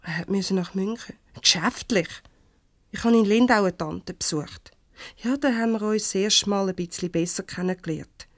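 A woman playing a warm-hearted, defensive divorcee in a Swiss German radio comedy, conversational and a little hesitant. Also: medium pitch, moderate pace, no room sound, close to an everyday voice. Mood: confiding. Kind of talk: naturalistic 1970s radio comedy acting.

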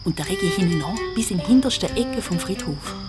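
A woman's voice: fairly low pitch, animated, a little fast. A woman with a Swiss German accent narrates a children's audio drama in a vivid, conversational storytelling style. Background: music.

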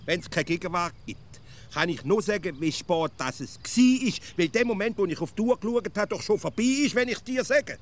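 Sceptical Swiss rustic, animated man. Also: sits high. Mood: dogged reasoning. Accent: Swiss German. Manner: halting repetitions, dogged contradicting. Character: sceptical, literal-minded provincial Swiss male friend, cunning fool. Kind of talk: comic radio dialogue.

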